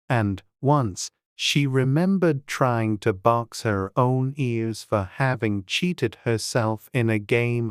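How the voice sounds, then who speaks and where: A man's voice: medium pitch, clear, resonant tone, no echo, studio quality. A man reading scripted storybook narration as an audiobook narrator.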